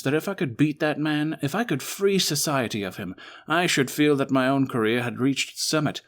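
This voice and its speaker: A man's voice: fairly high pitch, pitched above the performer's own voice, close to an everyday voice. A man playing a brilliant, weary but resolute Victorian detective in a one-man audio drama, articulate, formal and emphatic.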